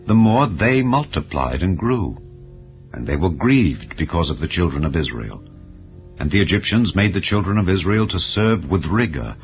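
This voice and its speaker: A man narrating scripture as a dramatized audiobook, in a solemn, measured storytelling manner. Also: low voice. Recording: slightly dull audio over music. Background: clear background music.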